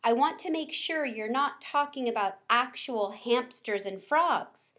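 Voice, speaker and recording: Woman doing strict gym teacher lady from a kids book, firm and formal, kinda high voice. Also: expressive, unhurried, a bit bright.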